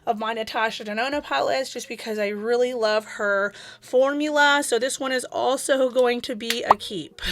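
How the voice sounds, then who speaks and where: A beauty vlogger chatting casually to camera, a woman whose voice is raspy from recently losing it to a virus. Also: on the high side, normal speed, fairly bright tone. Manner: chatty, fond, weighing up each item aloud. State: raspy, recovering from losing her voice.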